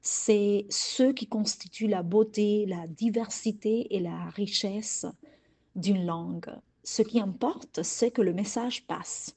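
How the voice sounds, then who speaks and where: A woman French teacher from Cuba with a Cuban accent, Spanish her first language, speaking in a calm, deliberate and earnest way. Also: mid-range voice, husky. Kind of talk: prepared personal message.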